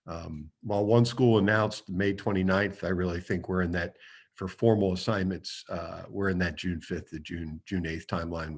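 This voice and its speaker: A school superintendent with a booming voice, rambling through answers to public comments at an online meeting. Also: male voice, husky. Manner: rambling, with many fillers.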